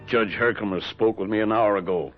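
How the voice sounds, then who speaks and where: An actor playing a plain-spoken, matter-of-fact colonial town constable with a folksy way of talking in a radio drama. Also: male voice, fairly low pitch, a little fast, over music, lightly acted. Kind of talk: 1970s radio drama acting. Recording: dull lo-fi vintage radio recording.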